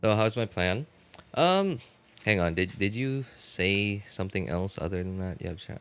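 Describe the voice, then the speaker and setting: Low-voiced man chatting on a game livestream. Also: smooth. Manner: halting, repeats phrases, animated incredulous reactions. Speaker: live streamer, gaming host. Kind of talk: casual live-stream chat, answering viewer messages.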